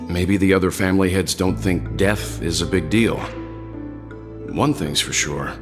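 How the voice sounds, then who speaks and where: A really deep calm detective type in a video game, polite n thoughtful, music playing. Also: male voice, measured pace. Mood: pensive. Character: calm, courteous, observant adult male investigator. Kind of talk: English video game voice acting.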